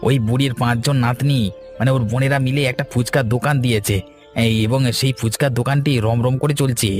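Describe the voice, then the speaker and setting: A man acts out a scheming cartoon villager who loves to argue. He rattles his words out quickly and insistently, with faint music underneath. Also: mid-range voice, fairly even intonation, natural voice. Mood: eager informant. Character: scheming, argumentative village man, sly troublemaker. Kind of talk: Bengali cartoon story acting.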